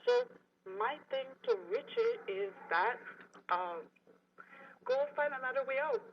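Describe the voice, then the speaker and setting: A woman caller with a Belizean accent and a very high, lively voice with some creak. She speaks casually over a muffled phone line with faint noise. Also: a little slow. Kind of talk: casual listener call-in. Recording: dull, noisy phone line. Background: TV or radio playing.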